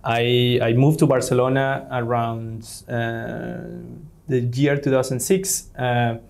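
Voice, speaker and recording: A man from Venezuela talks through an interview as the guest, pausing often on fillers and restarting his sentences. His pitch sits in an ordinary middle range, neither high nor low. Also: little pitch movement, gravelly, a little mellow. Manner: hesitant, many fillers and restarts. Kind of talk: conversational interview.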